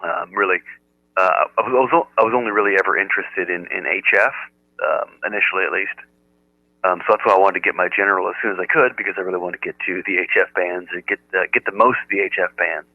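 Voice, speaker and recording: An American man chatting casually as an amateur radio operator, with a halting delivery. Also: low-pitched, steady tone, dry recording. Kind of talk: casual ham radio chat. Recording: narrow-band, telephone-like radio audio.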